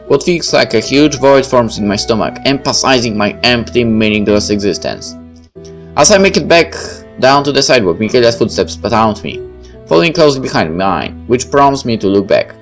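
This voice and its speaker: A man reading a story game aloud and commenting on it as he plays. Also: medium pitch. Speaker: gamer reading a visual novel aloud and commenting. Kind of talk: dramatic read-aloud of first-person fiction with casual asides.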